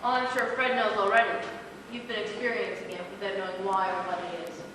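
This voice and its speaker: A woman's voice: medium pitch, level tone, brisk, natural voice. An actress as cool clinical woman psychologist, very echoey. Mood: clinical, explanatory. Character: cool clinical female police psychologist, authoritative. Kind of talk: live amateur stage play acting. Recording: echoey hall from far off, noisy.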